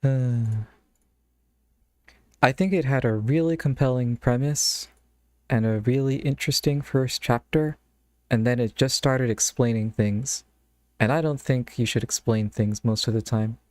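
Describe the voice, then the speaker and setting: A man's voice: mid-range voice, unhurried, a little mellow, dry recording. A man streaming live, chatting casually and thinking aloud in a rambling way into a close mic. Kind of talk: casual live-stream chat while browsing games.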